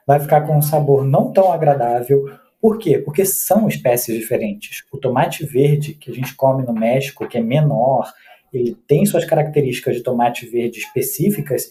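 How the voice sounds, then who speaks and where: A man's voice: fairly high pitch, mellow. A man from Mexico, a researcher and cook, explaining food history in a teacherly way. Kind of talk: conversational explanation.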